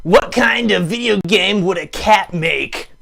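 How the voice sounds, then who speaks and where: A man voicing a chatty, goofy puppet who hosts a live show, rambling playfully in a raspy, croaky voice. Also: high voice, a little slow, bright, forceful tone, heavily acted. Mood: musing. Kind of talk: improvised comic rambling to live chat.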